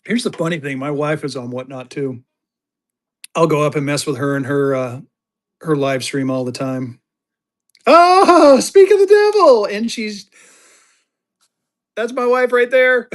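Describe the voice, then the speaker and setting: A man hosting a live-stream auction of paper money, chatty, jokey and excitable. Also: on the high side, slow, pauses often. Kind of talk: casual live-stream selling chat.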